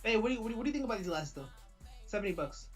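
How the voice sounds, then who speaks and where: Podcast guy talking real fast, slangy, keeps restarting his sentences, faint music in the back. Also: high-pitched, big pitch swings. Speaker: podcast co-host. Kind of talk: casual banter.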